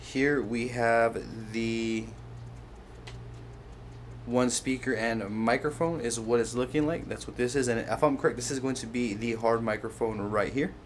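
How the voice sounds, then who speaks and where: Male voice, medium pitch, casual tutorial narration, step-by-step explaining, noticeable background noise. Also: steady tone, unhurried. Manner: step-by-step, pointing things out as he handles them. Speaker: host of a how-to product video.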